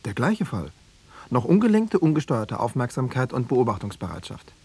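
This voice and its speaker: A man's voice: mid-range voice, big pitch swings, moderate pace, darkish tone, slightly rough, no room sound. An actor playing a cool, pedantic male East secret police analyst, lecturing in in a self-assured way. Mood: self-satisfied analysis. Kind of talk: radio play dialogue.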